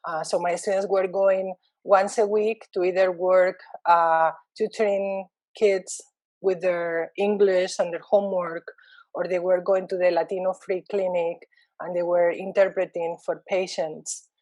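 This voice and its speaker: A woman's voice: lowish voice, flat delivery, measured pace, a little mellow, no echo, rough recording. Thoughtful woman. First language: Spanish. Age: middle-aged. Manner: thoughtful, frequent ums and restarts. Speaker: university Spanish lecturer. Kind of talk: reflective interview talk.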